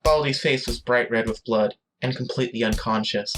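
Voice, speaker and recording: A man's voice: mid-range voice, moderate pace, a bit bright, slight background noise. A man reading scripted narration for a story as its narrator.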